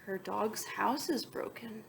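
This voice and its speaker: A woman teacher reading a picture book aloud to young students, expressive, moving between emphatic reading and soft, wondering asides. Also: mid-range voice, slow pace, vocal fry, low-quality recording. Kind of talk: children's read-aloud with asides and questions to students.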